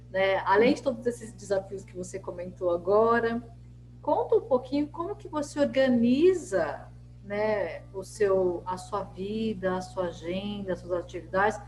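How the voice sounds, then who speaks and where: A woman's voice: medium pitch, a little hiss or hum, rough recording. A woman hosting a conversational interview, recapping details as she leads into her questions. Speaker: interviewer, host.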